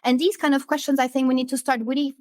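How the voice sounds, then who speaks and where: A woman with a fairly high voice talks in a conversational interview. She is emphatic and often repeats a phrase for stress. The recording is dry, with hardly any room sound. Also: flat delivery, fast, a little mellow, no echo. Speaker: entrepreneur in conversation.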